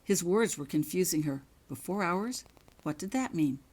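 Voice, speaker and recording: Low-pitched woman narrating a story. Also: animated, normal speed, a little mellow. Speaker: author reading own story. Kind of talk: scripted dramatic story narration.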